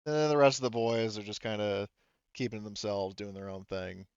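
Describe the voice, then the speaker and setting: A man's voice: lowish voice, steady tone, a little fast, darkish tone, clean tone, no room sound. A man loosely narrating a tabletop roleplaying game in a hesitant, conversational way, on slightly muffled audio.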